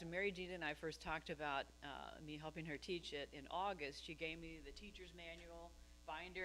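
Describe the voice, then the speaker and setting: A woman's voice: fairly low pitch, fairly bright tone. A woman giving an informal explanatory talk as a class co-teacher, speaking live to a congregation in a meeting room.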